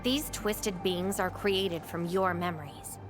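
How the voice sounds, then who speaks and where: A woman's voice: lowish voice, slow, music in the background. A woman playing a self-assured, coolly teasing young warrior in a video game, composed and slightly formal. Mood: cool, knowing. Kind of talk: video game cutscene acting.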